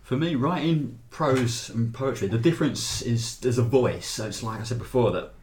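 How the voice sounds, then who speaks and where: A man talking quickly and emphatically in a casual discussion, thinking aloud. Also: medium pitch, a little mellow, a little hiss or hum.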